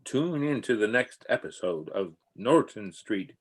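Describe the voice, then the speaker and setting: Guy narrator for a radio serial, lowish voice, dramatic scripted announcer delivery. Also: big pitch swings, unhurried, husky.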